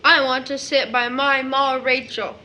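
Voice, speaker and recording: A woman's voice: sits high, flat delivery, a little slow, sharp tone, a little hiss or hum. A woman playing a chatty, polite small boy in an audio drama, full of innocent questions and eager insistence. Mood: curious, insistent.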